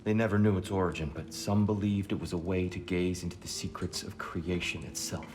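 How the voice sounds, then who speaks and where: A man voicing a wise older god in disguise, calm and earnest. His voice sits fairly low, and clear game music plays underneath. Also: lightly acted. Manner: measured, earnest.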